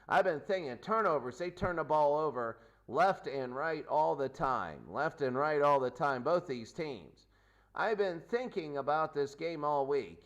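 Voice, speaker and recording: Male football pregame host, fairly high voice, off-the-cuff commentary with emphatic repetition. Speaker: sports show host.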